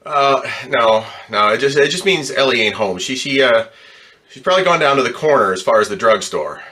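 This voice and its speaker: A man's voice: medium pitch, lively intonation, fairly quick, sharp tone. A man playing an embittered, distraught ambulance driver in slangy, stammering old-time radio drama style. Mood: nervous, hesitant. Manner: slangy working-class talk, stammering when upset.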